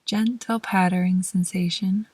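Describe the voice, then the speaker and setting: Slow, breathy woman guiding meditation. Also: lowish voice, steady tone, no room sound. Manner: gentle, unhurried, with measured pauses between short phrases. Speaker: guided meditation host. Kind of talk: slow, soothing guided meditation narration.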